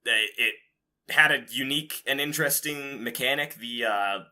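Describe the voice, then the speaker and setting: A man's voice: mid-range voice, normal speed, sharp tone. A man hosting a podcast, chatting casually about game news in an informal, rambling, casually profane way. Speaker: podcast host.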